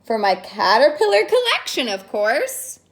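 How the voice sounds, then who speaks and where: Storybook boy cat, worried and stubborn, high and swooping played by a woman reader. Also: huge pitch swings, unhurried, a bit bright, slight background noise, full character voice. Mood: sheepish, evasive. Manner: muttering, mumbling excuses. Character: Caramba, young male cat, worried, secretive, stubborn.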